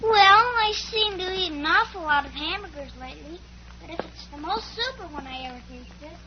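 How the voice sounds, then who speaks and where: A woman's voice: extremely high pitch, animated, moderate pace. An actress playing a chatty, innocent little girl in an old-time radio drama, with an American accent and artless manner. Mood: shy, earnest. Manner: childlike, artless, earnest. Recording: muffled old radio recording with hum.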